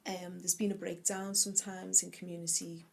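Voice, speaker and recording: A woman speaks in a measured, earnest interview manner. Her voice sits low and nearly flat in pitch, with a gravelly fry underneath. A faint hum of room ambience runs behind her. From Liverpool, England. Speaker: community wellbeing officer. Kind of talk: interview answers to camera.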